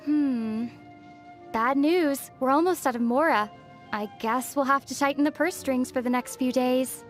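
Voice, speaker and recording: A woman voicing a young female traveler in a video game, composed and playfully teasing, with quick wit. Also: high-pitched, faint music behind. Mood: matter-of-fact. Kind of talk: video game character dialogue.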